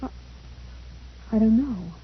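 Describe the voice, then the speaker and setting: Anxious, high-voiced daughter. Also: female voice, animated, slow, husky, full character voice. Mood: shaken. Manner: urgent, agitated. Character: anxious, determined young daughter. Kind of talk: old-time radio drama acting. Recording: muffled, noisy old radio recording.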